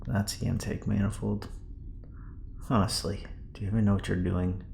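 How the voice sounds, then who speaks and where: A man's voice: lowish voice, flat delivery, moderate pace, vocal fry, darkish tone, a little hiss or hum. A man playing an irritable, distrustful technician in an audio drama, curt, exasperated and scornful.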